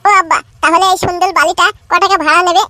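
A man voicing a cheeky, boastful little boy in an amateur cartoon, with a pitch-shifted voice. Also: steady tone, racing, sharp tone, full character voice. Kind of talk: amateur cartoon comedy dialogue.

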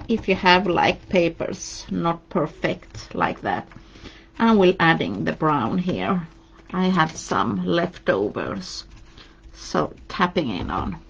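A Swedish-accented woman talks a live audience through a craft project at her table, chatty and encouraging. Her delivery is slow and her voice sits a little low. The stream audio sounds dull and lo-fi. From Sweden. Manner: chatty, encouraging, reads out viewer comments while painting. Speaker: craft demonstrator.

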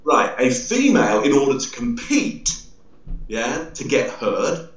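Animated man on a roomy, dull video call. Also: medium pitch, measured pace. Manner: emphatic, gestures a lot, stands and moves around, tags lines with "yeah?". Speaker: body language expert guest. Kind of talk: animated explanatory interview talk. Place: indoor room with large window. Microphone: video call, webcam at distance. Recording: roomy echo, distant, dull webcam sound.

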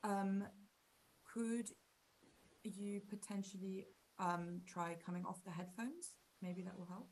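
A woman's voice: mid-range voice, slow pace, a little mellow, poor audio. A woman with a mid-range voice moderates an online panel in a polite, tentative way. She speaks over a video call, and faint noise sits under her voice.

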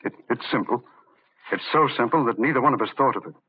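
Intense doctor in old radio play, raspy edge, urgent and emphatic, phone-like old recording. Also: male voice, medium pitch, lively intonation, fast. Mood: urgently excited. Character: intense, rational male doctor, badly rattled. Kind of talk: old-time radio drama acting. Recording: muffled narrow-band old radio recording.

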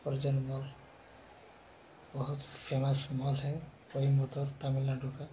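A man's voice: medium pitch, completely flat delivery, takes it slowly, mellow, slight background noise, low-quality recording. A man giving a casual walking tour of a shopping mall, filming on his phone and repeatedly calling the viewers friends. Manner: repetitive, addresses viewers as friend. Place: outdoors and inside a large shopping mall.

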